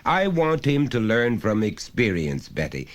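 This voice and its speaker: A man's voice: on the low side, very animated, measured pace, voice pushed up. A man voicing an overbearing, falsely jovial middle-aged father in a short story, with a precise, pompous, lecturing manner. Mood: lecturing, stern.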